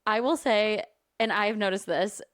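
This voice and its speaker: A woman with a Midwestern American accent, co-hosting a casual chat podcast, lively and laughing often. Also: medium pitch, unhurried, dry recording, clean studio sound. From Michigan, USA. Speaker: podcast co-host.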